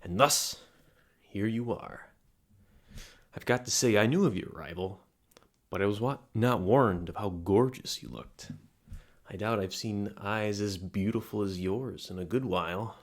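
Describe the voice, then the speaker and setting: Man playing a flirty, low-voiced fortune teller. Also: measured pace. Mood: playful, welcoming. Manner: flirtatious, theatrical, wheedling, swinging into soft murmurs. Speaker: palm reader character in an audio roleplay. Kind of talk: scripted intimate roleplay addressed to the listener.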